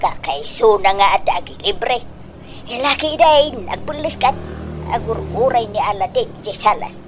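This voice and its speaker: Pushy, high-pitched scheming Ilocano mother. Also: expressive, measured pace, slight background noise, low-quality recording. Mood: nagging, insistent. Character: scheming, pushy, greedy middle-aged mother, comically effusive. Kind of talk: Filipino comedy radio drama acting.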